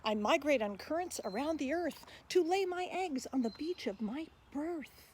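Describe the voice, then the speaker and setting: A woman's voice: high-pitched, lively intonation, normal speed, darkish tone, slight background noise, pitched above the performer's own voice. A woman voicing a mighty, earnest sea turtle in an emphatic, rhyming storybook delivery. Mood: earnest, playful.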